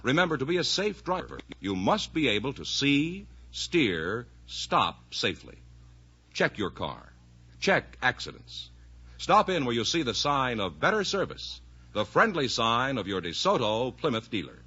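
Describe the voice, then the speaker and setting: A male announcer in 1950s radio style, reading out sponsor copy and introductions. He sounds bright and forceful with a husky edge and lively intonation. It is a muffled old recording. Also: mid-range voice, unhurried.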